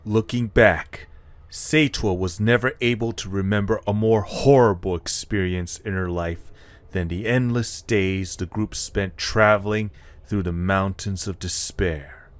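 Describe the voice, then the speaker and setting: Man, narrator, low pitch, unhurried pace, scripted story reading. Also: steady tone, no room sound.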